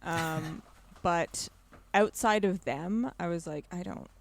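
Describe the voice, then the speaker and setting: A woman co-hosting a podcast, talking about video games in a blunt, informal, animated way. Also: lowish voice, takes it slowly. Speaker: podcast co-host. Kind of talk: casual video game discussion.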